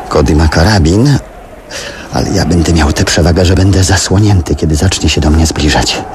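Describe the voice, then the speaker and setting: Radio drama lead: hunted survivor narrating his ordeal, deep voice, tense resolve, noisy recording. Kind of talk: dramatic first-person radio play narration.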